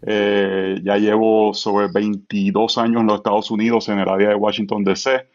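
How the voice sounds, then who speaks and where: A man's voice: fairly low pitch, normal speed, highs cut off. A man in his forties with a Puerto Rican accent, a pastor chatting relaxedly as a podcast guest with plenty of fillers. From Puerto Rico. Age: 40s. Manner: relaxed, filler-heavy, self-deprecating. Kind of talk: casual podcast conversation.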